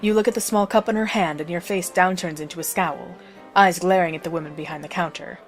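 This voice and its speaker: A woman's voice: fairly low pitch, fairly even intonation, fairly quick, faint music behind. A woman narrating a scripted story as the narrator of an audio drama.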